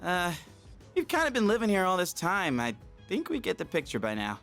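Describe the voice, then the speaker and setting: A man's voice: high voice, huge pitch swings, brisk, creaky, fairly bright tone, soft background music, heavily acted. A man voicing a cocky, goofy teenage boy in a game, slangy and brash. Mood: breezy, cocky. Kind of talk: game voice acting.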